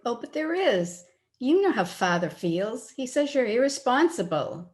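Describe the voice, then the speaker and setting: A woman's voice: on the high side, very animated, a little slow, a little mellow. A woman playing a sweet, earnest young daughter in love in an old-time radio drama. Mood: worried. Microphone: video call.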